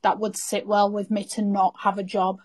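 A woman's voice: medium pitch, completely flat delivery, normal speed, a little mellow. A young woman co-hosts a podcast, talking casually and earnestly in a mid-range voice. She drops in everyday slang as she chats. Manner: chatty, earnest, northern English slang. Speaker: podcast co-host. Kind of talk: casual chat.

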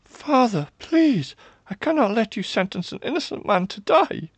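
Desperate young princess, played by a man, high pitched, pleading and emotional, for fantasy audiobook drama. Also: very animated, moderate pace, breathy edge, dry recording, heavily acted.

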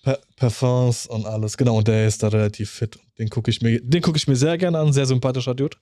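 A man's voice: medium pitch, fairly even intonation, fairly quick, warm tone, no room sound, studio quality. A man in his forties co-hosting a podcast, joking in loose slangy chat and often stumbling over his words. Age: 40s. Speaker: podcast co-host. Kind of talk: casual chat.

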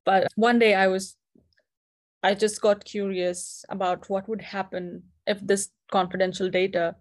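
A woman's voice: mid-range voice, little pitch movement, a little slow. A young woman from India in her twenties, a company founder, talking earnestly as an interview guest and often restarting her words. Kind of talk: casual interview conversation.

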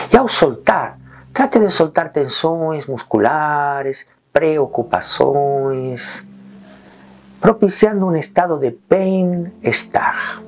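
A man leading a guided meditation in calm, gentle short phrases with long pauses. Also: on the high side.

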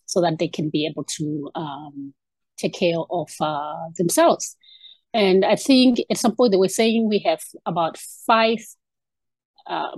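A woman's voice: fairly low pitch, a little mellow, husky, no echo, poor audio. A woman in her fifties from Zimbabwe, a guest talking through her background with frequent ums and restarts. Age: 50s. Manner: hesitant, many ums and restarts. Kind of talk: casual interview conversation.

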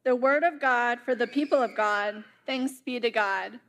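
A young woman, a summer intern, reading scripture and preaching a sermon in a church, with a slow, careful delivery. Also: a little high, fairly bright tone, a little hiss or hum. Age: young adult. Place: church sanctuary.

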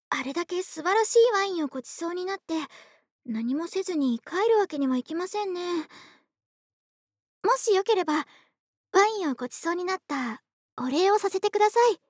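A voice actress playing a polite, doting young woman next door in an intimate, soft-spoken ASMR roleplay. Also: extremely high pitch, lively intonation, normal speed, no echo, clean studio sound. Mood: politely eager. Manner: soft-spoken, courteous, polite speech, affectionate. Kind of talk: Japanese ASMR situation voice drama, intimate roleplay. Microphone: close studio microphone, dry.

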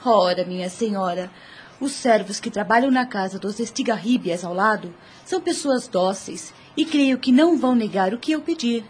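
An actress playing an eager, coy maidservant in a radio soap, theatrical in delivery. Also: female voice, mid-range voice, lively intonation, moderate pace, slight background noise, lightly acted. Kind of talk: Brazilian radio soap acting.

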